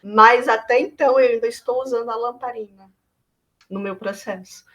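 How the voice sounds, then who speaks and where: A Brazilian woman artist from Bahia, talking through her work in a reflective, halting way with many fillers and restarts. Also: a little high, a bit bright. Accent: Brazilian Portuguese. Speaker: visual artist.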